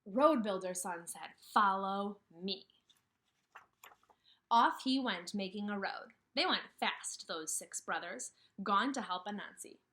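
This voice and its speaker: A woman in her thirties giving a kindergarten read-aloud lesson, speaking with emphasis and pausing after questions as if for children to answer. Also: mid-range voice. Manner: animated, asks questions and pauses for answers, slow emphatic phrasing. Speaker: kindergarten teacher. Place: small indoor room with brick wall.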